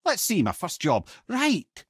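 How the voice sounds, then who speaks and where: A man's voice: high-pitched, huge pitch swings, measured pace, slightly rough, no room sound, clean studio sound, full character voice. A man voicing a wise, witty old talking head in a video game, with a Scottish accent and an eloquent, wry storytelling manner. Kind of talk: game character dialogue and storytelling.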